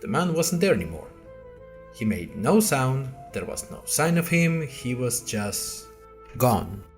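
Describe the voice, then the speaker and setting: A man's voice: mid-range voice, big pitch swings, unhurried, over music. A man playing a bewildered, earnest young college newcomer, narrating an audio diary podcast in a wry, hesitant, conversational way straight to listeners. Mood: puzzled, storytelling. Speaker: narrator and podcast host character. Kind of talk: first-person audio fiction narration.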